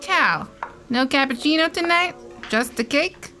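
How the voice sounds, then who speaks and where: High, chirpy cafe server. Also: female voice, animated, unhurried, sharp tone, faint music behind, exaggerated voice. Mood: friendly, chatty. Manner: chirpy, familiar, casual. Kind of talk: audio drama dialogue.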